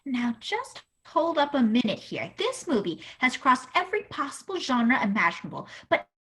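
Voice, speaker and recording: A woman's voice: high voice, sing-song, normal speed, a bit bright, husky. A woman narrating a comedy with sassy melodrama. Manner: dramatic, sassy.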